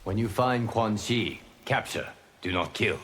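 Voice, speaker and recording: A man voicing a calm, solemn god in a video game, formal, measured and grave, speaking as a wise keeper of time. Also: fairly low pitch. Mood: stern, measured. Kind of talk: video game fight-intro dialogue.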